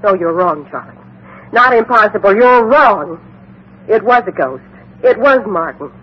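An actress playing a fervent, easily frightened medium, insistent and repetitive, in 1950s radio drama style. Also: female voice, mid-range voice, animated, unhurried, breathy edge. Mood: frightened, frantic. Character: frightened, fervent phony medium convinced by her brother's ghost. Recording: dull vintage 1950s radio recording.

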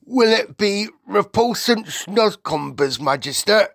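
A storybook reader voicing a friendly, childlike giant who speaks in effusive, halting, ungrammatical bursts. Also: mid-range voice, expressive, gravelly, a bit bright, no room sound, studio quality, voice pushed up, exaggerated voice. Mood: earnest. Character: friendly giant, eager, reverent, childlike, muddling his words.

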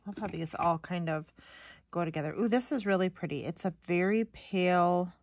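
Chatty low-voiced woman, slightly stuffy. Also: normal speed, no room sound, studio quality. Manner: chatty, informal, thinking aloud. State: slightly stuffy, sniffly nose. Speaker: craft video host. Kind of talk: casual unboxing chat. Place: indoors at a craft table.

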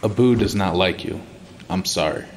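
A man playing a cold, reserved tall elf in a fantasy audio drama, speaking in a hushed voice. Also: low voice, moderate pace, very noisy. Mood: cool, weary.

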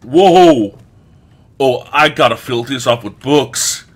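A man giving casual, exclamatory gameplay commentary with frequent swearing as a let's play host. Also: mid-range voice. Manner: exclamatory, often swearing, drawn-out words.

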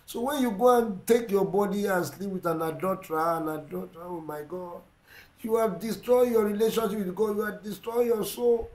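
A middle-aged man with a Ugandan English accent preaching a sermon, emphatic and repetitive, swinging between loud and quiet. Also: high-pitched, normal speed, roomy sound, rough recording. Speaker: pastor. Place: indoor room with plain wall. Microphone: seated facing camera.

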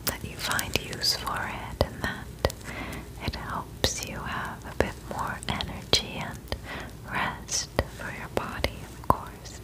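A young adult woman performing a whispered ASMR roleplay close to the mic, gentle and soothing as a friendly witch. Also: slow pace, low-quality recording. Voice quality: breathy, unvoiced whisper.

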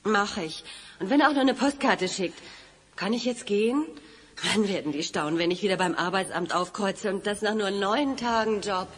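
A woman's voice: medium pitch, moderate pace, slight background noise, close to an everyday voice. A woman playing a sassy, reluctant, unimpressed secretary in a 1980s radio play, speaking sarcastically. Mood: sarcastic, defensive. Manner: snippy, sarcastic.

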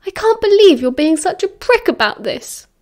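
A woman's voice: extremely high pitch, very animated, moderate pace, a little mellow, voice pushed up. A woman voicing a hot-headed, defensive teenage schoolboy in a story, snappy and sarcastic yet anxious. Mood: exasperated, sarcastic. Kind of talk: dramatised story reading.

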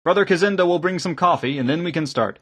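A man's voice: on the high side, fast talker, husky, pitched above the performer's own voice. A man voicing a kindly, eager engineer and lay preacher in an audiobook, with a hearty, welcoming manner. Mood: eager, hospitable. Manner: hearty, welcoming, gently correcting. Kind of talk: audiobook character acting.

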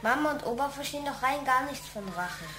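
High-pitched eager young boy. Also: animated, quick pace, bright, forceful tone, reverberant, soft background music. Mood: eager, pleading. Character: young boy, eager kite builder pleading with father. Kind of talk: radio play acting.

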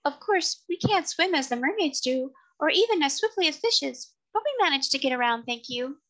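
Tiny seahorse played by a woman, very high voice, chipper, boastful, small but distinct, storybook character. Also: expressive, moderate pace, fairly bright tone. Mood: chipper, proud.

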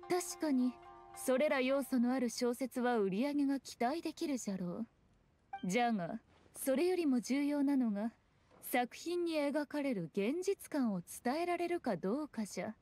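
A haughty fox shrine maiden, high-pitched and composed. She speaks in lordly, archaic phrasing like a sly game character who knows more than everyone, over soft music. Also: lively intonation, normal speed. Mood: composed, authoritative. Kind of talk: scripted video game dialogue.

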